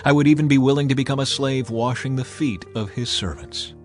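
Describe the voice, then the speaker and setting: A man voicing Abigail, a humble woman earnestly pleading, in a Bible reading with soft music behind. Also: mid-range voice, fairly quick, natural voice.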